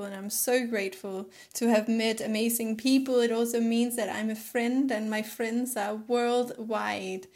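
A young woman from Denmark, a native Danish speaker, chatting casually as an interview guest. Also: on the high side. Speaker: podcast guest, yoga teacher.

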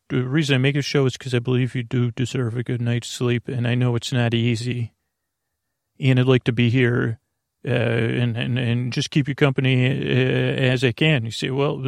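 A man with a gravelly, creaky voice at a middling pitch talks in a dry, close recording. He rambles reassuringly to the listener as a bedtime podcast host, halting, trailing off and circling back. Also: dry recording. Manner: reassuring, low-pressure, addresses the listener directly. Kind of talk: rambling bedtime monologue to help listeners fall asleep.

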